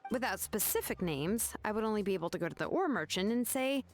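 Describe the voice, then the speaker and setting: A woman's voice: medium pitch, expressive, fast talker, natural voice. A woman playing a composed young craftswoman in a video game, laid-back yet exacting, explaining things casually. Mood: matter-of-fact, explaining. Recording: game music behind the voice.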